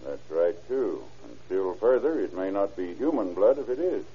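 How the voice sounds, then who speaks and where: Deep-voiced terse radio lawman, American. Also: sing-song, moderate pace, mellow, exaggerated voice. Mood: businesslike. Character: calm, methodical small-town lawman investigating a case. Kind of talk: old-time American radio drama acting. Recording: muffled, husky-sounding old radio recording.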